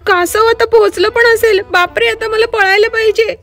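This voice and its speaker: A boastful, lazy male hare, put on by a woman storyteller. The voice is very high and excitable, full of exclamation. It is a storybook character for children, with music behind. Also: normal speed. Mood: alarmed, panicky.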